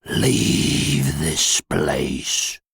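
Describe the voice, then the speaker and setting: Extremely deep, gravelly ancient guardian creature. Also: male voice, very animated, a bit bright, no room sound, clean studio sound, exaggerated voice. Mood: menacing. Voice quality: gravelly, breathy rasp. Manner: slow, commanding. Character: menacing ancient guardian creature of a burial place. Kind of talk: audiobook drama acting.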